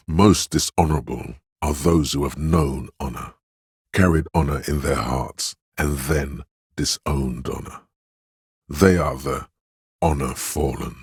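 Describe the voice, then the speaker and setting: An actor voicing a solemn, grandiose inner voice of authority in a video game, speaking in a pompous, ceremonious and deliberate manner. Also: male voice, very deep voice, a little mellow, husky, no room sound, studio quality, full character voice. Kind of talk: scripted game voice lines, theatrical narration.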